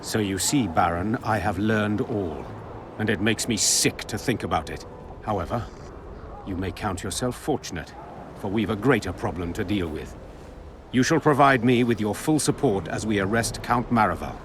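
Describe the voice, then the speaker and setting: An actor playing a stern, honourable military commander in a fantasy video game, speaking in a formal, measured and forceful way. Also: male voice, fairly low pitch, expressive, noisy background, natural voice. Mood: stern, threatening.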